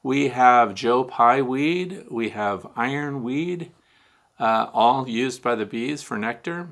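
A man's voice: medium pitch, lively intonation, takes it slowly, fairly bright tone. Older man explaining beekeeping to camera. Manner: explanatory, poses questions then answers them. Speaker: beekeeping show host. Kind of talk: casual instructional talk to camera. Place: home studio with neon sign, indoors.

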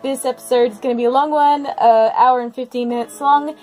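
A woman hosting a reaction video, giving casual commentary with expressive, exclamatory asides. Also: high voice, brisk, faint music behind.

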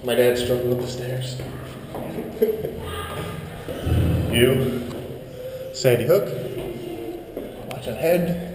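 A man's voice: mid-range voice, very slow, long pauses, background noise. Man giving offhand sightseeing remarks. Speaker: tourist filming with a wearable camera. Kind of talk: casual running commentary. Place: inside and atop a lighthouse tower. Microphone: body-worn action camera.

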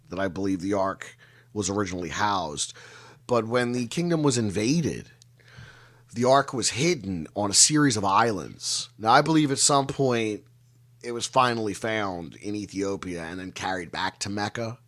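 A middle-aged man telling stories as an interview guest on a video call, measured and given to dramatic pauses. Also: fairly low pitch, lively intonation, a bit bright. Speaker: guest storyteller and researcher of mysteries. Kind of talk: conversational storytelling interview. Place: indoor room, video call.

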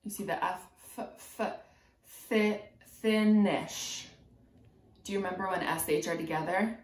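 A woman in her twenties reads a picture book aloud as a preschool teacher, pausing to put simple, encouraging questions to young children. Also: medium pitch. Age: 20s. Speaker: preschool teacher reading a storybook. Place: small room at home, indoors. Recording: roomy indoor echo.

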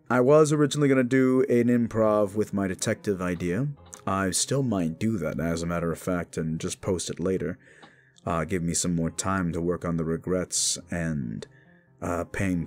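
A man's voice: deep voice, darkish tone. A man who is a little sick, giving a casual, rambling outro to listeners as an audio creator. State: a little sick, voice not fully recovered.

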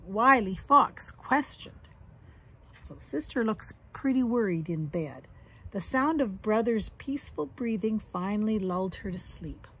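A middle-aged woman with a husky voice reading a children's picture book aloud expressively, adding little asides for young listeners. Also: medium pitch, low-quality recording. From Canada. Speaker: grandmother reading to grandchildren. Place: outdoors on a deck or yard among trees.

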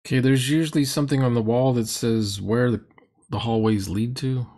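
A man's voice: medium pitch, fairly even intonation, moderate pace, dark tone. A middle-aged man giving critical, sarcastic commentary on a roleplaying game in a grumbling, mocking way. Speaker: commentator reacting to a recorded roleplaying session.

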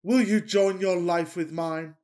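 A man playing a pompous, lovestruck Victorian gentleman in a farcical radio play, declaiming in florid, earnest speeches. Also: sits high, fairly even intonation, measured pace. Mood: ardent.

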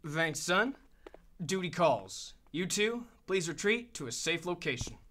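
Actor as cocky army commander. Fairly high, forceful, emphatic, radio drama. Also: male voice, big pitch swings, measured pace. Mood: commanding. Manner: emphatic, assured. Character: confident, blustering US military commander.

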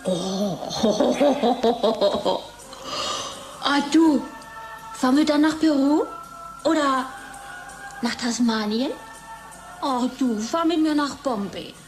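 An actress playing a bored, tipsy wife in a German radio play, sardonic and dreamy, with a lazy teasing drawl. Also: female voice, sits high, expressive, very slow, long pauses, darkish tone, soft background music, exaggerated voice. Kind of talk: radio drama acting.

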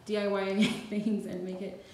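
A woman's voice: mid-range voice, little pitch movement, unhurried. A woman chatting informally and off the cuff to her viewers, as in a home vlog. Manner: informal, unscripted, trailing thoughts. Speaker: vlogger. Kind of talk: casual vlog chat to viewers. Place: indoors, empty apartment and lived-in home. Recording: echoey rooms with clear background noise.

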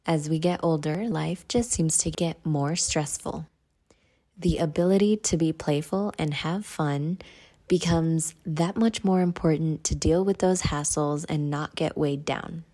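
A woman leading a guided meditation in a measured, instructional way. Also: sits low, fairly even intonation, dark tone.

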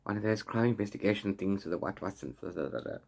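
A man in his forties giving a casual, unscripted book chat, rambling in an animated way with exasperated asides. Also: fairly low pitch, quick pace, gravelly. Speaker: book reviewer vlogger. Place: small room at home with bookshelves.

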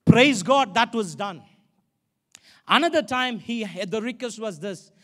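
A man's voice: high-pitched, lively intonation, unhurried, fairly bright tone. A man with an Indian accent preaching a sermon as a pastor, emphatic and exhorting, repeating phrases for stress. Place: large stage in an indoor auditorium. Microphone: handheld microphone.

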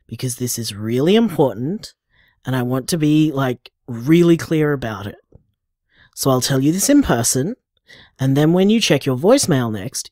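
A man's voice: mid-range voice, a little mellow, no echo, studio quality, lightly acted. An actor playing an affectionate, upbeat young man leaving casual, earnest voicemails in an intimate audio drama.

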